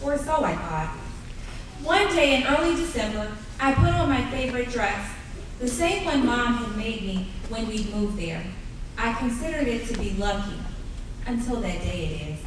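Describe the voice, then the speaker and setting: A woman playing a reflective narrator who recalls her childhood in measured, scripted radio-play storytelling. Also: a little high, lively intonation, natural voice. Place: live stage in an arts center. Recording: noisy, very echoey theater, far mic.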